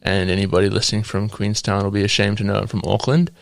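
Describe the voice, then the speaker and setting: Young New Zealand man, twenties, podcast guest telling his testimony, low voice, hesitant, dry close sound. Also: flat delivery, quick pace, creaky, no room sound. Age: 20s. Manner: hesitant, with frequent ums and laughs. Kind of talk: sharing a personal faith testimony.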